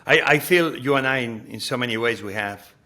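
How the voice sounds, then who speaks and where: A middle-aged man with a Spanish accent hosts a relaxed podcast conversation. His tone is bright and forward, and he stumbles and repeats words while reaching for the right phrase, at a middle pitch. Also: brisk. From Spain. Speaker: podcast host. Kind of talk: conversational interview.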